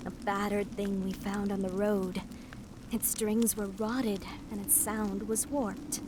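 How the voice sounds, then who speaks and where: A woman playing a wistful wandering lute player of humble birth in a video game, telling her story in a theatrical, measured way. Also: mid-range voice, background noise, close to an everyday voice.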